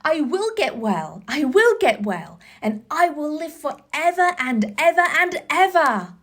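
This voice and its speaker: A woman voicing an imperious, excitable young boy invalid full of wonder, in a storybook reading. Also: sits high, a little slow, voice pushed up, exaggerated voice. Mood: excited, hopeful.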